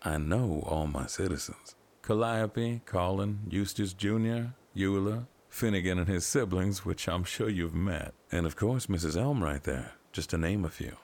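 A man's voice: deep voice, a little slow, few pauses, darkish tone. A man playing a formal, self-assured heir to a governor in an audio drama, speaking with pompous polish. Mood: composed.

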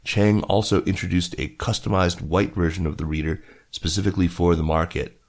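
A man reading scripted business news as a podcast host. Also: deep voice.

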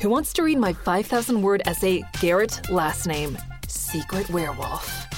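An actress playing an excitable, playful fandom blogger with emphatic delivery in an audio drama. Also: female voice, medium pitch, normal speed, music in the background, lightly acted. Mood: playful excitement.

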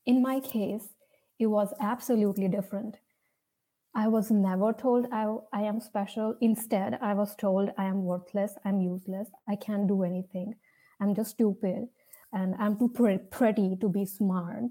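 A young woman from India with an Indian accent tells her personal story off the cuff, earnestly and with hesitant pauses. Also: mid-range voice, fairly even intonation, warm tone. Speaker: speaker sharing a personal story. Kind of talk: personal storytelling, unscripted talk.

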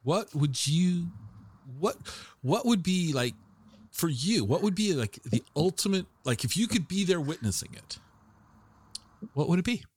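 Lively-pitched male interview host. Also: a little slow, slight background noise. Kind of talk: casual chat.

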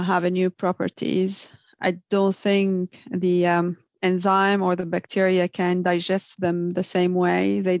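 A woman's voice: fairly low pitch, creaky, no room sound. Woman explaining with many ums. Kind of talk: casual science chat. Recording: dull lo-fi sound.

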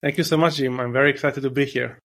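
A man's voice: mid-range voice, fairly even intonation, fast talker, fairly bright tone. A man in his thirties with an Israeli accent, answering interview questions in a loose, chatty way with many fillers and restarts. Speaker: guest entrepreneur.

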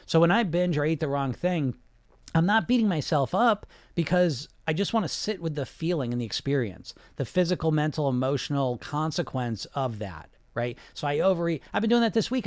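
A man's voice: a little high, expressive, fairly quick, darkish tone, dry recording. A man hosting a podcast as a weight-loss coach, talking in a casual, emphatic way with lots of "you know" fillers. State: sniffling. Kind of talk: casual monologue.

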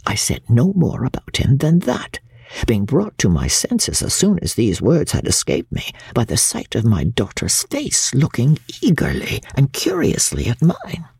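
Casting: woman reader as proud middle-aged Victorian mother, spiteful and emphatic, gravelly breathy voice, classic-novel audiobook. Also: rumbling low, huge pitch swings, a little mellow, dry recording, clean studio sound, exaggerated voice. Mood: resentful, uneasy. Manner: haughty, emphatic, deliberate storytelling. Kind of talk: dramatic audiobook reading of classic literature.

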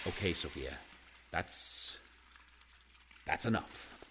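A man's voice: low voice, animated, slow, very noisy. A man playing a peevish, easily flustered board director in an audio drama, fussy and exasperated.

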